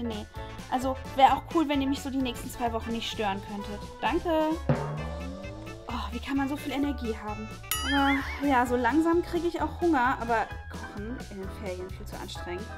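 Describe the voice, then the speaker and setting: A high-voiced, lazy schoolgirl acted by a woman in an online comedy sketch. She sounds whiny and drained, sighing as if everything is too much effort. Music plays behind her. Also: lightly acted. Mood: annoyed, dismissive. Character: lazy, drained schoolgirl who wants to be left alone. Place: living room, on a sofa.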